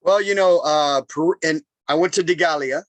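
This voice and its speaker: A man, a chef, telling stories in a casual, animated interview with lots of repeated phrases. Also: a little high, normal speed, no echo. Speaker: chef and podcast guest.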